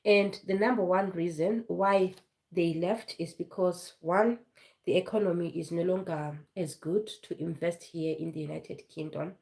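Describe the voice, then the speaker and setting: A woman's voice: lowish voice, steady tone, unhurried, creaky, a little mellow. Woman with flu talking on a live stream. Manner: chatty, repeats points, fillers and restarts. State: has flu, voice low and foggy. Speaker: vlogger hosting a live stream. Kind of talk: casual live-stream talk.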